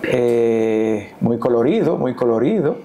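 A man's voice: medium pitch, animated, slow, warm tone. Man, 30s, interview host, short follow-up questions, lapel mic, faint background noise. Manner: brief prompts and follow-up questions. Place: indoor living room set.

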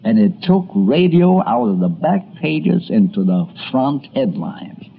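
A man's voice: medium pitch, very animated, measured pace, vocal fry, a little hiss or hum. A man with an American accent narrating a scripted radio documentary. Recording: muffled, music underneath. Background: music.

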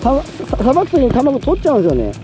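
A man's voice: very high-pitched, huge pitch swings, very fast, warm tone, soft background music. A middle-aged man chatting casually outdoors as he fishes, recorded on a body-worn camera. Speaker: fishing vlogger. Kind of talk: casual chat while fishing. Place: outdoors on a concrete seawall by the sea.

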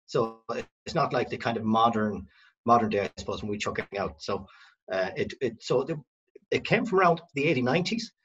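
A man's voice: mid-range voice, animated, brisk, fairly bright tone, breathy edge, dull audio. A middle-aged Irish man from the west of Ireland, a company director telling his family history in a rambling, self-correcting way on a video call from home. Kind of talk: casual video-call interview. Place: home room.

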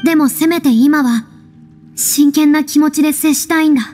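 An actress playing a proud yet earnest young woman in a video game, speaking in a measured, theatrical, formal way. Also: high voice, warm tone, natural voice. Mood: earnest, subdued. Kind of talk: Japanese video game voice acting. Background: faint music.

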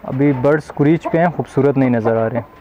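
A young man walking through outdoor chicken pens and proudly explaining his breeding birds. His voice sounds somewhat muffled through a camera mic. Clucking chickens keep up clear noise behind him. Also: mid-range voice, fast, mellow. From Pakistan. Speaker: poultry breeder. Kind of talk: casual farm tour explanation. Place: outdoor poultry farm pens.

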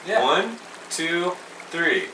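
A man's voice: fairly high pitch, big pitch swings, very slow, pushed and bright, roomy sound. A man in his thirties in casual skit banter, recorded outdoors at a distance with water noise behind him. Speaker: show host in an intro skit.

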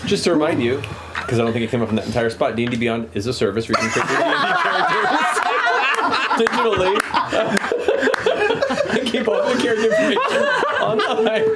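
A man's voice: high voice, big pitch swings, normal speed. A man hosting, giving a casual sponsor plug and sounding amused as he talks. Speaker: show host. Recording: very noisy live studio table.

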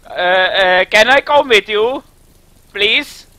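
A man with an Estonian accent improvising a campy, pleading singer character in halting broken English during a game stream. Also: high voice, sharp tone, no room sound, full character voice. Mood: pleading, anxious. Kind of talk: improvised role-play while streaming a game.

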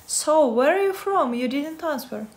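Soft-spoken young woman with a Russian accent. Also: sits high, animated, a little slow. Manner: soft, friendly, thanking viewers and reading chat. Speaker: livestreamer. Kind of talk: casual live-stream chat with viewers. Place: indoors, bedroom. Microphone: phone at arm's length.

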